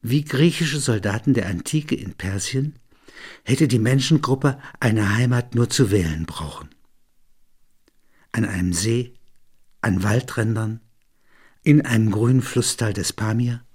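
A man's voice: on the low side, unhurried, pauses often, a little mellow, breathy edge. A man narrating in a literary register, as a reader and commentator.

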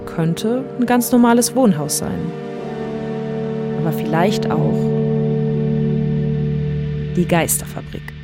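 A woman's voice: lowish voice, animated, slow, long pauses. Woman podcast host, conversational scripted narration, music playing under her.

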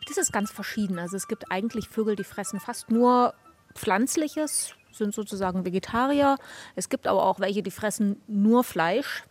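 A woman's voice: medium pitch, normal speed. Woman bird expert, explains patiently for kids, faint music behind. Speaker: ornithologist expert guest. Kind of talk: explaining to children.

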